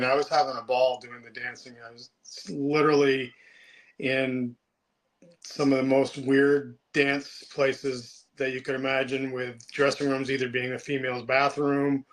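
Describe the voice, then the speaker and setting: A man in his late fifties answering interview questions in a relaxed, chatty way, often pausing with ums and restarting his sentences. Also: medium pitch, low-quality recording. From United States. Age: 50s. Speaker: interview guest. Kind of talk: casual interview chat.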